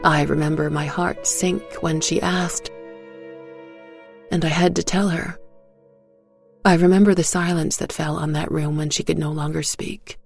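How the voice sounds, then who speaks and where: A woman with a creaky voice narrating a dark first-person story in a solemn, measured manner. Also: low voice, steady tone, soft background music. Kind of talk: scripted dark fiction narration.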